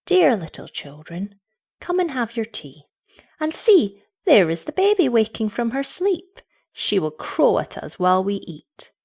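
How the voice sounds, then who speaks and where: Loving young-children's mother, played by a woman narrator, tender and soothing, expressive rise and fall. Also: medium pitch, a little slow. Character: gentle loving mother of small children, sadly firm.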